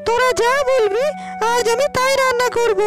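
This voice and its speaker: Woman voicing very high-pitched, hospitable young village woman. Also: fairly even intonation, moderate pace, over music. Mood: eager, fussing. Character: warm, hospitable young village woman eager to feed relatives. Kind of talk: Bengali cartoon character dialogue.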